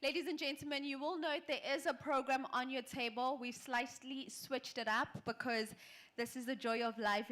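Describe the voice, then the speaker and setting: A woman acting as master of ceremonies, making polite, brisk announcements to dinner guests. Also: fairly high pitch, a bit bright. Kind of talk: event announcement. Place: banquet hall.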